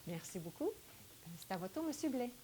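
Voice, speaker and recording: A woman moderating a studio debate, speaking in a brisk, businesslike way. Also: fairly high pitch, sing-song, warm tone, slight background noise.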